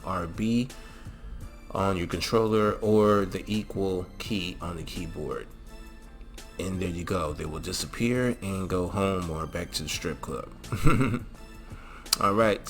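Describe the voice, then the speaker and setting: A man's voice: sits low, gravelly, fairly bright tone. A man hosting a gaming channel, walking through a mod tutorial in a casual way with lots of fillers and a repeated "all right?".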